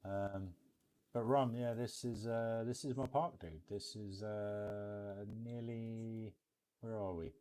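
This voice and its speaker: Deep, mellow British man streaming casually. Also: steady tone, takes it slowly, clean tone. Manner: chummy, addresses viewers as mate and bud. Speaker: game streamer and host. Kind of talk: casual live stream chat over a theme park building game.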